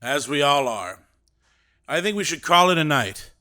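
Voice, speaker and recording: A grandiose male director in an audio drama, sure of every idea he pitches. His voice is bright and a touch high, and it swings widely as he holds forth. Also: lively intonation, moderate pace. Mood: brash. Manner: self-assured, theatrical, commanding. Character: brash confident male theater director, grandiose.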